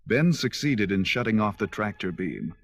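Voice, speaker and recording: A man's voice: fairly low pitch, very animated, normal speed. A man narrating a scripted children's adventure story in a dramatic read-along style. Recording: 1979 cassette, loud music underneath.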